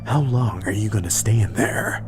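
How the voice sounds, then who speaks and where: A man voicing a stern, battle-hardened soldier with a gravelly growl and terse delivery, as in a video game dub. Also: fairly low pitch, normal speed, pitched below the performer's own voice, natural voice. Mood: stern, guarded. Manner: terse, interrogating, deadpan. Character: gruff, stern, battle-hardened male soldier, a lone operative. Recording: loud music underneath. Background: loud music.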